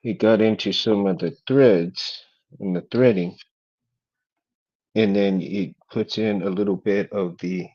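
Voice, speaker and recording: A man talks in a low, flat monotone, murmuring along as he works on a craft project. His delivery is laid-back and unhurried. The recording is dull and lo-fi. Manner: laid-back, talks quietly while working. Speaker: hobby craft vlogger. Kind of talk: casual working chat while building.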